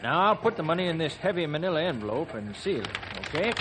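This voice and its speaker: An actor playing a friendly, businesslike bank clerk in an old-time radio drama. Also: male voice, on the high side, sing-song, quick pace, very noisy.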